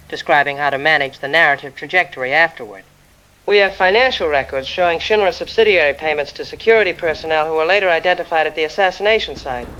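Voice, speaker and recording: A man's voice: on the high side, sharp tone, lo-fi sound. A man playing a grave investigator in an audio drama, reporting in an emphatic, methodical way. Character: grave investigator exposing a corporate conspiracy.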